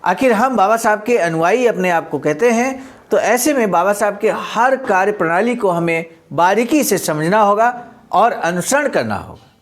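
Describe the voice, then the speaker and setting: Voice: medium pitch, lively intonation, moderate pace. A presenter reads a speech aloud and explains it in a didactic way, repeating points for emphasis.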